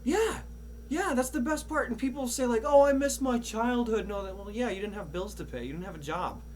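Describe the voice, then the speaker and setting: A man hosting a casual podcast chat, swearing freely and often restarting his sentences. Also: very high-pitched. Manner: profane, halting with repeated starts. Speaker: podcast host. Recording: audible background noise and hum.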